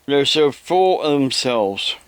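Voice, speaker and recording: Slow-talking older American man, clear voice. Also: medium pitch, big pitch swings, no room sound. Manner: halting, deliberate, with repeated phrases. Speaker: evangelist vlogger. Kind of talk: rambling monologue to camera.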